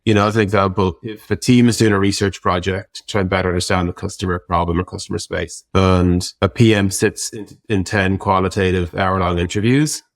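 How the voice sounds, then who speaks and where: A man with a very low voice talks in a casual interview, thinking aloud as he explains. The sound is close and very dry, with hardly any room on it. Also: fairly even intonation, a little fast, few pauses, no echo. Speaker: interview guest, tech product executive.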